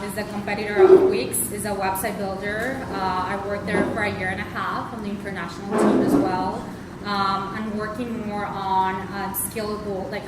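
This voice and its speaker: A woman with a Colombian accent gives an informal guest talk to an audience. The microphone picks her up from far off. The room echoes heavily, and loud noise sits over the recording. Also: medium pitch. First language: Spanish. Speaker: guest speaker, tech marketing lead.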